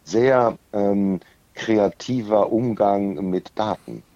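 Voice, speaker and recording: A man speaking as an interview guest in casual conversation, measured and given to wry understatement. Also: on the low side, mellow, no echo, lo-fi sound.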